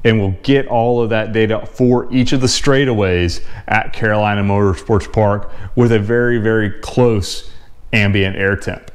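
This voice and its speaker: A man in his forties with a fairly low voice walks through racetrack data in a measured, plain-spoken way. He talks straight to the camera from his home garage. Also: steady tone. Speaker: car channel host. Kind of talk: explaining test results to camera.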